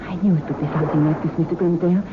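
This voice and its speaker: A woman's voice: low voice, fairly even intonation, very fast, close to an everyday voice. An actress playing a determined, accusing young woman with a firm, commanding manner, in an old-time radio suspense drama. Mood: wary, stern. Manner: commanding, firm, clipped questioning. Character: determined, accusing young woman hunting her fiancé's killer. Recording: tinny, noisy 1960s radio recording.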